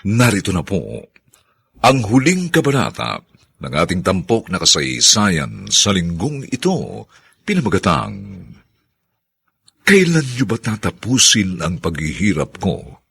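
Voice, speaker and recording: A man giving solemn, theatrical scripted narration as a radio drama announcer, with a husky voice. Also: deep voice, wildly swooping pitch, a little slow, long pauses, a bit bright, no echo.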